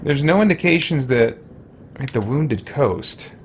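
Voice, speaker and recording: A man's voice: medium pitch, expressive, a little slow. A man giving casual gameplay commentary, puzzling out his options aloud as he plays, with background noise. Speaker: let's play host.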